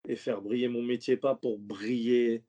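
A man's voice: mid-range voice, steady tone, normal speed, warm tone, lo-fi sound. A man who is a stand-up comedian, talking loosely in a casual interview, slangy and rambling with many restarts. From French suburbs.

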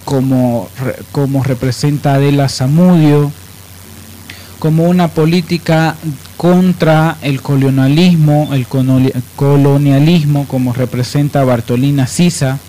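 A male radio host with a fairly high voice. He talks conversationally to co-hosts and listeners, with faint noise behind. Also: fairly even intonation, measured pace, warm tone, poor audio. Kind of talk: conversational live radio hosting. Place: radio programme.

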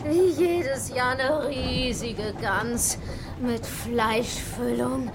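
An actress playing a hungry, exasperated landlubber survivor at sea in a German radio horror drama. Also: female voice, fairly high pitch, fairly even intonation, drawn-out words, over music. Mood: dreamy, wistful.

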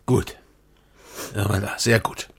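An actor playing a world-weary, secretive, hard-drinking man in a radio drama, low and gravelly, speaking tersely and evasively. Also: fairly even intonation, extremely slow, heavily acted. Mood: guarded, reassuring. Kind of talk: naturalistic radio drama acting.

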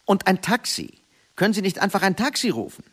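An actor playing a flustered, self-doubting middle-aged businessman in a radio play, halting and muttering, then brisk and impatient. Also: sits high, sing-song, a bit bright, slightly rough, full character voice.

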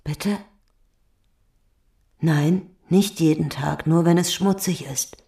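A woman's voice: sits low, slow pace, gravelly, warm tone, heavily acted. An actress playing an anxious, hesitant mother in a radio drama, speaking plainly. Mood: defensive, unsure.

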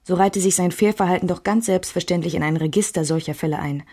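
An actress playing a reflective, wounded woman who recalls her married life in measured, intimate first-person radio drama narration. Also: on the low side, warm tone, no room sound, clean studio sound.

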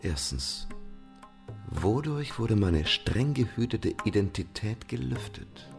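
A man playing an English gentleman investigator in a German radio play, formal and theatrical in his questions and exclamations. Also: on the low side, sing-song, takes it slowly, gravelly, soft background music, full character voice. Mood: pondering.

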